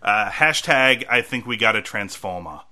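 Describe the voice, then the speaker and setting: Male podcast host, very bright tone, laughing, stammering restarts, casual chat. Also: fairly low pitch, animated, moderate pace.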